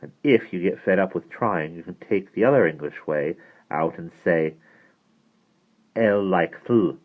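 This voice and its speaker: A proud teenage boy, acted by a man reading a storybook, speaks in a brisk, teasing, emphatic way. The sound is narrow and muffled, as if down a phone line. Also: sits low.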